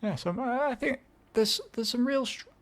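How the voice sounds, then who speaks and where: A man's voice: very high-pitched, normal speed. A young English man hosting a casual solo music show, rambling and correcting himself with wry asides. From England. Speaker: podcast host. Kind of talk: casual solo chat introducing songs.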